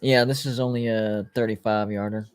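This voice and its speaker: A man's voice: on the low side, steady tone, normal speed, clean tone, dry recording. A man in his late thirties hosting a live sports watch party stream, chatting casually with viewers and rambling through his thoughts. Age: 30s. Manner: rambling, restarts, lots of "like" and "you know".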